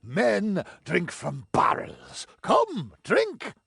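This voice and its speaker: A tipsy, eccentric fantasy-game inventor with a creaky voice that swoops up and down. He rambles theatrically, pleased with himself, at an unhurried pace. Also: sits high, huge pitch swings, a bit bright, no room sound, studio quality, heavily acted. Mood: tipsy good cheer. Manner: theatrical, rambling, self-amused. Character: tipsy, jolly, eccentric inventor and drinking buddy.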